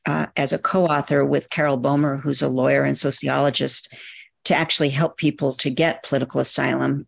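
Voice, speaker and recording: Older woman, gravelly voice, dry close sound, measured and precise. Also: a bass voice, no room sound. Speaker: guest, professor emerita. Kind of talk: conversational interview.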